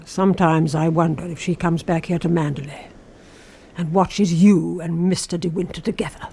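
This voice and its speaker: Sinister, insinuating housekeeper over background noise. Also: female voice, lowish voice, fairly even intonation, moderate pace, gravelly, mellow. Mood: eerie, insinuating. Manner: insinuating, intimate, lingering on phrases. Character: sinister, obsessive housekeeper devoted to her dead mistress. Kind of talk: radio drama acting.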